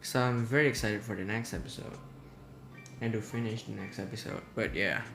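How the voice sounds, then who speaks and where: A man's voice: on the low side, steady tone, fairly quick, vocal fry, over music. Easygoing man. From Indonesia. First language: Indonesian. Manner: rambling, easygoing, hesitant. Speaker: game commentator. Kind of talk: casual gameplay commentary. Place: at home.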